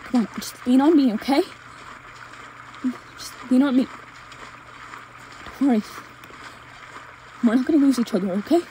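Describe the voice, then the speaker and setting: A woman performs a desperate but tender young heroine in an old-fashioned romantic audio drama. Her voice is fairly high and soft-edged, very mellow, and she speaks haltingly, repeating herself. Ambient sound effects add some noise behind her. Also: expressive, rough recording. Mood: soothing, urgent. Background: forest, stream and dungeon ambience effects.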